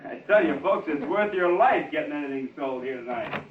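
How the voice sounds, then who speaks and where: An actor playing a weary, pleading auctioneer in a 1950s radio drama, projecting repetitive sales patter. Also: male voice, a little high, animated, fairly quick, close to an everyday voice. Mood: coaxing. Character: weary pleading auctioneer working a reluctant room. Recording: noisy echoey tinny old radio recording.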